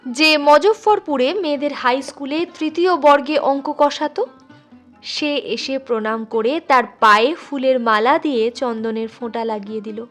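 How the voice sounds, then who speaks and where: A woman narrating a literary short story expressively, as a storyteller addressing listeners. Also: sits high. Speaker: storyteller, channel host.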